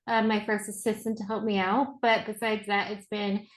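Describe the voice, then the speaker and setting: A woman's voice: mid-range voice, flat delivery, moderate pace. Chatty woman from Indiana, echoey room. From Indiana, USA. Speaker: freelance web designer.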